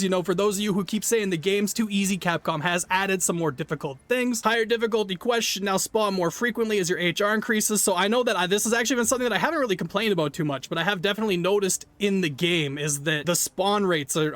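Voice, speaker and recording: A man's voice: sits high, fairly bright tone. A young man with stuffy, allergy-congested speech, chatting casually and rambling with frequent laughs while commenting over gameplay as a gaming video host.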